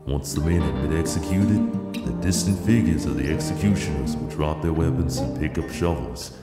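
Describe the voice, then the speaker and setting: Very deep male narrator, echoey. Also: normal speed. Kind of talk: dramatic scripted storytelling narration. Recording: echoey long reverb under loud music. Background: loud plucked guitar music.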